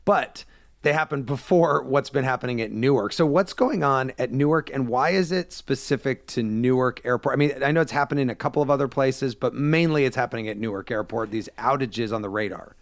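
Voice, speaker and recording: A man's voice: mid-range voice, fairly quick, dry recording. An American man working as a radio host, asking questions in a conversational, plain-spoken way. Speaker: radio show host and interviewer. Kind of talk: conversational interview.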